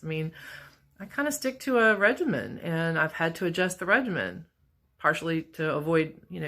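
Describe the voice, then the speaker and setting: Raspy low-voiced middle-aged woman. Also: fairly bright tone. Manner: candid, rambling, self-deprecating. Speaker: vlogger. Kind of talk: casual personal vlog. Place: office at work.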